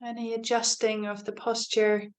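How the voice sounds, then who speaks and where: A woman leading a guided body scan meditation as a teacher, speaking gently and without hurry, in soft invitations with long pauses. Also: on the high side, level tone.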